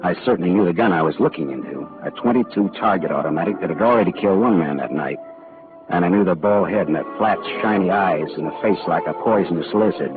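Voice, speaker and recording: A man's voice: deep voice, fast talker, natural voice. An actor playing a tough, wisecracking male private detective who also narrates, in a 1940s radio drama. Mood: narrating. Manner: hard-boiled, wry, clipped. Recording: tinny old radio broadcast with faint music.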